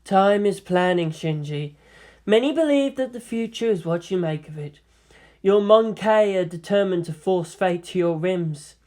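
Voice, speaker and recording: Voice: mid-range voice, lively intonation, dark tone, close to an everyday voice. Narrator as a proud, commanding alien seeress. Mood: amused. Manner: commanding, solemn, measured. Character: proud, commanding female Eldar seer, cryptic mentor.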